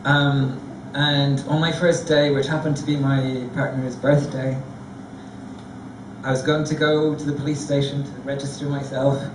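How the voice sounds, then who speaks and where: A man on a discussion panel, telling a lively, self-deprecating story. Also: mid-range voice, measured pace, far from the mic, noisy background. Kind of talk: anecdotal storytelling. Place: large theatre stage before an audience. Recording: echoey hall recorded from afar.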